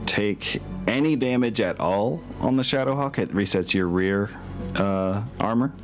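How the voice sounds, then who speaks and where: A man's voice: on the low side, measured pace. A man casually running an online tabletop wargame as game master, thinking aloud through rules and rolls. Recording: music running under the voice. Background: music.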